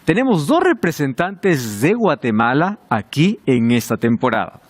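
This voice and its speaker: A man's voice: on the high side, sing-song, measured pace. A man hosting a televised Bible study, welcoming and speaking directly to the viewers. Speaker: host of a Bible study show.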